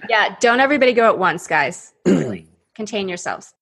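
Woman podcast host, husky tone, upbeat and laugh-prone, casual chat. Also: mid-range voice, big pitch swings, fairly quick, a bit bright. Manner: upbeat, laughs often, many fillers.

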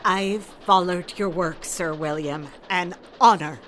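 Lowish-voiced, playful lady scholar. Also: takes it slowly, a bit bright, a little hiss or hum. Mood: poised, playful. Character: playful, assured woman scholar of ancient Britain. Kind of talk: radio drama acting.

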